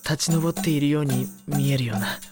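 Man acting a gentle, light-voiced teenage boy. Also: a little high, a little fast, over music, natural voice. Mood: wry. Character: mild, gentle, good-natured teenage boy teammate. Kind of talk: anime audio drama acting.

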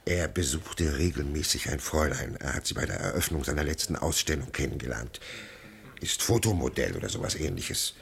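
An actor playing a gossipy, self-satisfied small-town innkeeper who chats confidingly, in a 1980s German radio crime drama. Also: male voice, a bass voice, expressive, a little fast, vocal fry, fairly bright tone, heavily acted. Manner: chatty, confiding.

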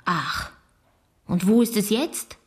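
A woman's voice: medium pitch, animated, takes it slowly. Teenage girl, mid-range voice, worried, insistent, protective sister, radio drama. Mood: disbelieving.

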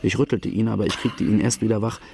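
An actor playing an outlaw who plainly recounts his hard youth in a German radio drama, with a gravelly voice. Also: lowish voice, steady tone, fast talker, darkish tone, slight background noise. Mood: reflective. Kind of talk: first-person narration in German radio drama.

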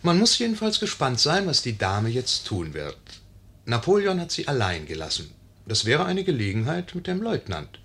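An actor playing a wry, knowing narrator in a 1950s radio play, ironic and musing. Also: male voice, medium pitch, wildly swooping pitch, normal speed, pushed and bright, husky, heavily acted. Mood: wry, amused. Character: wry, knowing male narrator commenting on the intrigue.

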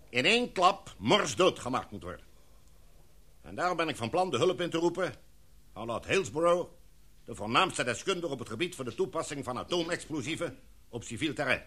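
A man's voice: fairly high pitch, sharp tone, heavily acted. Een man die in een hoorspel een formele, gezaghebbende expeditieleider speelt en afgemeten, redenaarsachtig spreekt. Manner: formal, oratorical, measured. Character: authoritative, formal expedition leader, a knighted gentleman. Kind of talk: radio drama acting.

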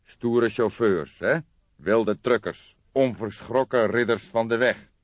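A man voicing a calm, cynical veteran police detective in a crime audiobook, measured and gently mocking. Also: medium pitch, no room sound, muffled recording, voice pushed up. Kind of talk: dramatised audiobook character reading.

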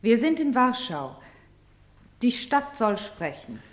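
An actress playing a commanding play leader in a German radio drama, deliberate and insistent as she directs. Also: fairly high pitch, sing-song. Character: commanding female play leader summoning people and places to speak.

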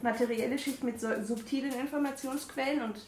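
A woman with a German regional dialect co-hosting a casual talk show, reading a book aloud with halting, amused asides. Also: sits high, steady tone, a little mellow. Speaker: podcast co-host reading from a book. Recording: echoey room with faint noise.

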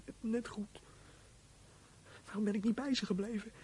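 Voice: medium pitch, fairly even intonation, moderate pace, darkish tone, slightly rough. An actor playing a nervous, frightened teenage boy in a Dutch radio drama, stammering and defensive. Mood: miserable, brooding whisper. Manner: stammering, hesitant.

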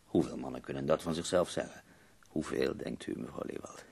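An actor in a radio play voicing an ailing, embittered nineteenth-century poet with formal, ironic, deliberate speech. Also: male voice, rumbling low, a little mellow, heavily acted. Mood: earnest, defensive. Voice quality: gravelly, husky. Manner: formal, deliberate, articulate, wry. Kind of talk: Dutch radio play acting.

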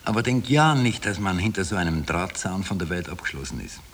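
A man's voice: low-pitched, lively intonation, brisk, a bit bright. An actor playing an Austrian former political prisoner, telling his story with wry irony in a radio play. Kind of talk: 1960s radio play narration. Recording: slightly hissy old tape.

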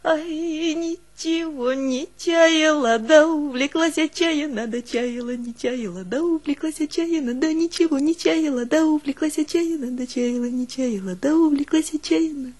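A woman's voice: high voice, measured pace, few pauses, darkish tone. An actress playing a lovelorn village girl, singing plaintive folk verses in a stage play. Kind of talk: slow folk song and rhymed village verse.

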